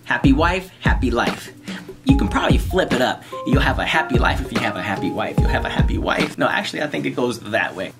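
A man's voice: mid-range voice, fast talker, vocal fry, over loud music. A man, chatting playfully to the camera in a small room and giving marriage tips. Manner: animated, playful, jokes and repeats lines. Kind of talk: casual to-camera chat. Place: small bright room indoors. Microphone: camera in front of him at close range.

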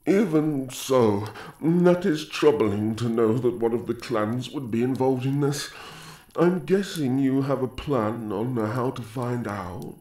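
A man performs a calm elf king and devoted husband for a fantasy roleplay. His pitch is average and his delivery is slow and stately, tender in manner. The recording has a roomy echo. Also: natural voice. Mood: tender concern. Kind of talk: fantasy audio roleplay, ASMR.

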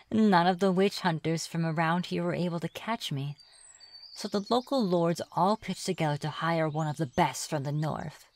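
A woman's voice: on the low side, a little mellow, close to an everyday voice. A woman playing a reclusive, flirtatious witch in an ASMR fantasy roleplay, with a playful, mocking manner. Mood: mocking, flirty. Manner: playful, mocking, drawn-out taunting. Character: reclusive, teasing, flirtatious witch of the deep woods.

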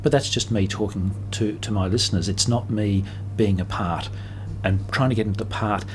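A man's voice: on the low side, fairly even intonation, moderate pace, a little hiss or hum. A man in his forties, a radio presenter, talking reflectively in an interview. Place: small indoor radio station room.

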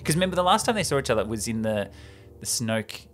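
Animated man recapping a film, music behind. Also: mid-range voice, fast talker. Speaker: podcast co-host. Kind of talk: casual chat and film script recap. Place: small home studio room indoors. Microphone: close podcast microphone on stand.

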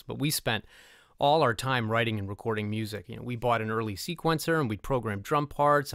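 A man's voice: on the low side, a bit bright, no echo, clean studio sound. A middle-aged man answering interview questions in a conversational, talkative, enthusiastic way. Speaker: interview guest, music composer.

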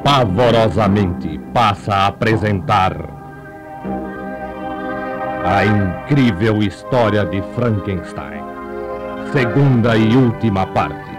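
An actor playing a mock-ominous, pompous announcer presenting a comic radio play. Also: male voice, lowish voice, slow, long pauses, over music. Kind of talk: scripted radio show announcement.

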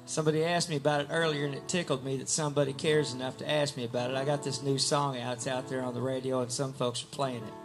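A man's voice: medium pitch, level tone, fast talker, hardly any pauses. A middle-aged man, a rambling, wordy storyteller, chatting and singing on an outdoor beer garden stage into a stand mic. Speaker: singer-songwriter. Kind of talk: live stage banter and singing. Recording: live guitar under voice.

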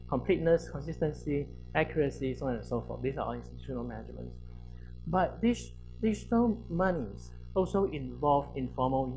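A soft-voiced man in his thirties presents research at an academic conference. He explains step by step and somewhat haltingly, repeating words as he goes. He speaks in a university lecture hall. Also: medium pitch.

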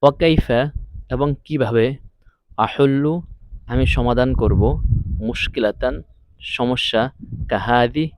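A man's voice: medium pitch, dry recording. Dry-sounding male language teacher. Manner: didactic, repeats phrases deliberately. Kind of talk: scripted lesson, phrase-by-phrase translation. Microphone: close, dry voice-over recording.

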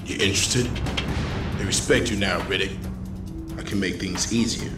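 An actor playing a smug, threatening prison gang boss in a video game, cool and domineering. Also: male voice, sits low, steady tone, normal speed, close to an everyday voice. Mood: smug threat. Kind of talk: video game cutscene acting. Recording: echoey, distant, music behind.

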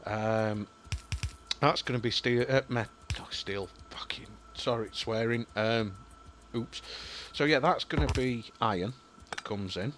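A man's voice: medium pitch, unhurried, fairly bright tone, soft background music. A young man with a Yorkshire accent, rambling and thinking aloud as he commentates on a building game, full of ums and apologies. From Yorkshire, England. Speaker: gaming YouTuber. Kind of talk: casual gameplay commentary.

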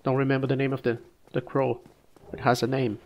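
A man with a cold casually commenting on his own gameplay, relaxed and halting, coughing now and then. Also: mid-range voice, dull audio, faint music behind. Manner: relaxed, halting, frequent restarts and fillers. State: sick, coughing.

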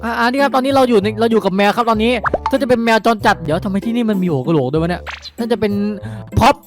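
A man's voice: high-pitched, animated, very fast. A man narrating a game role-play vlog, chatty and repetitive, speaking straight to his viewers. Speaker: video creator narrating game skits. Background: background music.

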